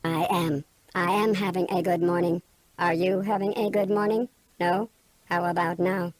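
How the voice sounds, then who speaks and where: A woman's voice: fairly low pitch, steady tone, normal speed, dry recording, natural voice. A woman playing an uncannily cheerful, robotic radio co-host with stilted, literal phrasing in scripted banter. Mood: uncanny cheer.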